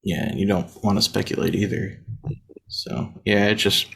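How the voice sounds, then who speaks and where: A man in his thirties co-hosting a casual sports podcast, feeling a bit unwell, talking in a relaxed way with plenty of fillers. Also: on the low side, moderate pace, vocal fry, slightly rough. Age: 30s. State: under the weather, voice deeper than usual. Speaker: podcast co-host.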